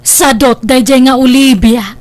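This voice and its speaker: A woman's voice: high voice, steady tone, measured pace, darkish tone. A woman with a Filipino accent playing a reproving mother of a grown son in a comedy drama. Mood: reproachful.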